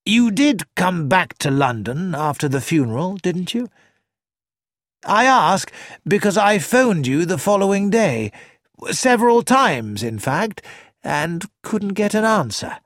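A narrator voicing a reserved, patient older male lawyer who speaks quietly and carefully in a dry studio recording. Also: mid-range voice, very animated, no room sound, pitched above the performer's own voice, exaggerated voice. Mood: measured. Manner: measured, quiet.

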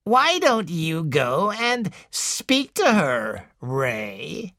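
A man voicing a cruel, domineering elderly mother who talks slowly and menacingly, savoring every word. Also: fairly high pitch, wildly swooping pitch, sharp tone, no room sound, studio quality, heavily acted. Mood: gloating.

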